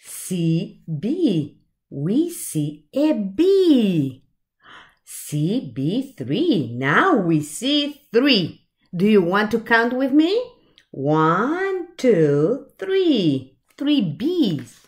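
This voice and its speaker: Very slow, animated woman reading to children. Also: mid-range voice. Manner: expressive, with asides and questions to the listeners. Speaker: children's storytime reader. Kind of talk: reading a picture book aloud to young children. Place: small indoor room with shelves.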